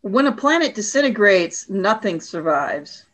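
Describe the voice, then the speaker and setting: A woman's voice: mid-range voice, big pitch swings, measured pace, a bit bright. An imperious alien warrior woman, acted by a woman for a radio play. Her mid-range voice is cold and formal. She speaks as one used to command, stern and haughty. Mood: cold, severe.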